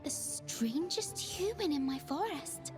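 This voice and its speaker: A very high, girlish fawn creature speaks over clear background music. She is shy and sweet, stammers when nervous and sounds wistful, like a gentle video game champion. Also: expressive, slow. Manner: timid, hesitant, stammering, apologetic. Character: shy, anxious young female dream fawn, sweet and wistful. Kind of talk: video game character voicelines.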